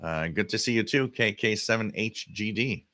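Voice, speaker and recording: Guy doing a casual live stream chat, lowish bright voice, stop and start, repeats himself, close dry mic. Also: dry recording. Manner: halting, repeats words and phrases. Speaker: livestream host. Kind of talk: casual live radio-hobby chat, reading and answering viewer comments.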